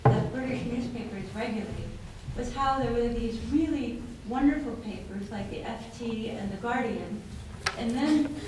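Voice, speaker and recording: A woman's voice: fairly high pitch, normal speed, few pauses. An American woman in the audience asking an earnest, emphatic question in a seminar room. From United States. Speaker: audience member. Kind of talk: question from the floor. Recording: distant, very echoey, noisy room.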